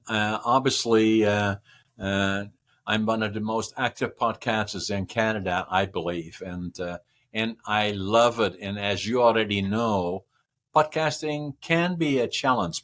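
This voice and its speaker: A man's voice: on the low side, measured pace, fairly bright tone. A man, a podcast guest giving long, rambling answers that repeat words and run through lists. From Northern Netherlands. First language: Dutch. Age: 80s. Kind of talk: casual interview answers.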